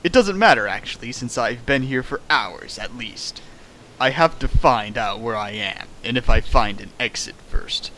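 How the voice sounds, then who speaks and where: A man's voice: medium pitch, expressive, normal speed, pushed and bright, slight background noise, low-quality recording. A man reading a fan fic aloud for a stream, breaking off into sarcastic comments and sudden sing-song bits. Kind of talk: comedic dramatic reading with riffing.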